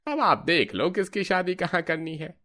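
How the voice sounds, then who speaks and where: A man's voice: high-pitched, animated, normal speed. A man voicing a genial, obliging priest who arranges marriages in a Hindi cartoon story, ingratiating and emphatic. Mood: persuasive.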